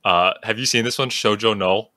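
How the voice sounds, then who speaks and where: A man's voice: on the low side, normal speed, bright, forceful tone, no echo, studio quality. A man from Long Island with a matching accent, hosting a podcast in playful, joking casual chat. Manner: joking, playful, laughs often. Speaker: podcast host.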